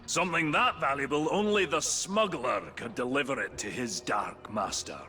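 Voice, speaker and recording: An actor playing a blustery, vengeful hunter in a video game, speaking emphatically and theatrically. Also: male voice, medium pitch, normal speed, pushed and bright, natural voice. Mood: commanding. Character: blustery, vengeful male fantasy hunter ally. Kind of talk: video game cutscene dialogue.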